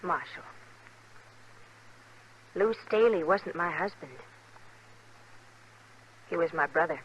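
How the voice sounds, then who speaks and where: Earnest, troubled young frontier wife. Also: female voice, fairly low pitch, takes it slowly, a bit bright, a little hiss or hum. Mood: confessional, troubled. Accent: American. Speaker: radio actress. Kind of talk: 1950s radio western acting. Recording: muffled vintage 1950s radio recording.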